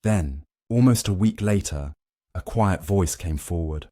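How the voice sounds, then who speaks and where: A man's voice: deep voice, unhurried, mellow, dry recording, clean studio sound. A man narrating a scripted dramatic story in a solemn, suspenseful way, in short weighted phrases.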